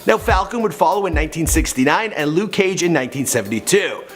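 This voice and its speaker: A man's voice: on the high side, expressive, fast talker. A man delivering a scripted biography narration like a showy storyteller, with conversational asides. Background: background music.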